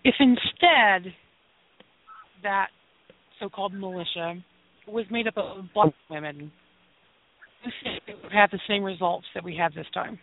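A man who is a guest in a casual discussion, speaking in a deliberate way as he poses questions. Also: sits high, gravelly. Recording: dry, tinny phone-like line.

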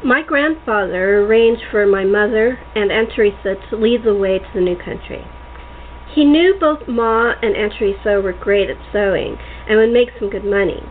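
A woman's voice: mid-range voice, moderate pace. A woman host reading scripted personal narration as a storyteller. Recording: tinny phone-like line with faint noise.

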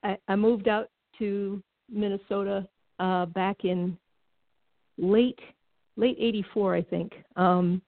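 A woman's voice: medium pitch, fairly even intonation, takes it slowly, dry recording. A middle-aged woman from Connecticut, a guest on a talk show, chatting casually and laughing often. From Connecticut, New England. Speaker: guest, former baseball team executive. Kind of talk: casual conversational interview. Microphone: phone call. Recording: tinny phone line, dry.

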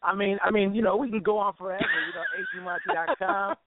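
Male interview guest, slightly high voice, easygoing and slangy, on a narrow, dry phone connection. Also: lively intonation, fast, a loud crowd. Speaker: guest, musician and entertainer. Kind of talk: casual interview chat. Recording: tinny dry phone line.

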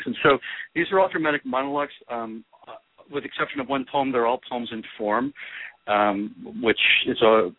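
A man answers interview questions in a thoughtful, halting way and often restarts his sentences. His voice is on the low side and sounds dry. It reaches the listener through a narrow, tinny phone line. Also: no room sound. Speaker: interview guest, poet.

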